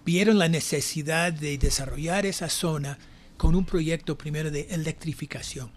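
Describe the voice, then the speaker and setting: A man's voice: fairly high pitch, a little hiss or hum. Older American man, hesitant academic speaker. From United States (New Jersey). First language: English. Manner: hesitant, reflective, frequent pauses. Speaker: academic guest, university professor. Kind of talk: conversational interview answers.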